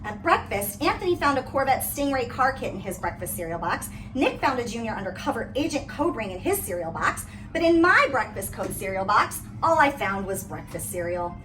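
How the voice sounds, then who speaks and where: A woman reads a children's story aloud with expressive, dramatic phrasing. Her voice is fairly high and swings widely in pitch. It is picked up from a little distance, with clear background noise. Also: a little fast, hardly any pauses. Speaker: read-aloud reader. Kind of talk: animated picture-book read-aloud. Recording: noisy, distant microphone.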